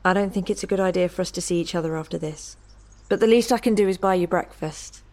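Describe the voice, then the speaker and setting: A woman's voice: on the low side, fast, natural voice. A woman playing an eerie, entranced young woman in a horror audio story. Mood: calm, detached. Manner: flat monotone that rises to sing-song.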